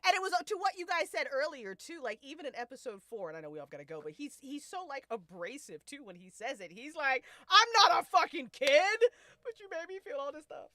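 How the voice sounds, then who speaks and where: A woman's voice: high-pitched, lively intonation, a little fast, sharp tone, no room sound, studio quality. A woman chatting casually as a writer about her story, in slangy, repetitive bursts, acting out what characters say. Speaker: writer of the show. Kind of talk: casual chat, re-enacting characters' lines.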